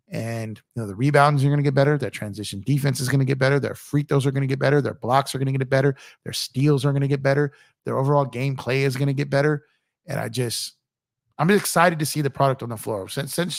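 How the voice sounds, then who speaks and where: A man hosting a casual basketball livestream, a little hoarse from losing his voice, chatty and rambling as he talks to his viewers. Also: medium pitch, no room sound, clean studio sound. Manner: chatty, rambling with restarts, speaks to chat viewers. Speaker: YouTube basketball streamer.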